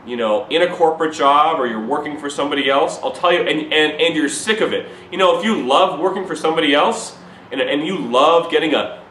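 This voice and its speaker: A man giving an urgent, repetitive, preachy sales pitch straight to the camera. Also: fairly bright tone, echoey room, a little hiss or hum, rough recording. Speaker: recruiting pitch to viewers.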